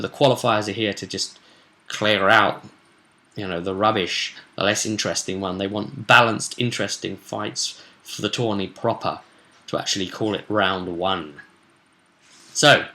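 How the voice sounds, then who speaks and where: A British man hosts a casual vidcast as a game master. His voice sits a little low but has a bright, forceful edge. He tells the story in a loose, chatty ramble peppered with slang. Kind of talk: casual solo recap of a tabletop role-playing session.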